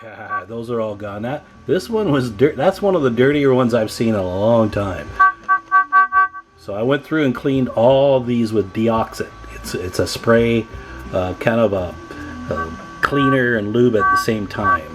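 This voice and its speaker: A man with a medium-pitched voice hosts a casual hands-on demonstration. He talks like a folksy repair technician, in colloquial language, with chatty asides to the viewer. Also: animated, measured pace, faint music behind. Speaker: radio repair technician, video host.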